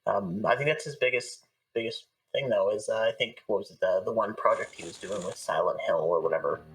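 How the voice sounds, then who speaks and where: Male interview guest, low register, hesitant casual delivery, recorded slightly distant from the microphone. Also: fairly quick. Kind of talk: casual chat.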